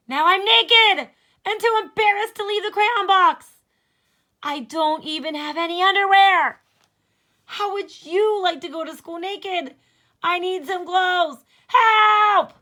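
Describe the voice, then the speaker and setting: A panicky, embarrassed crayon from a children's storybook, put on by a woman. The voice sits very high and piercingly bright. It whines and wails with melodramatic shame. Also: slow pace, heavily acted. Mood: embarrassed, panicked. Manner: wailing, melodramatic. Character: embarrassed, naked peach crayon, panicky and whiny.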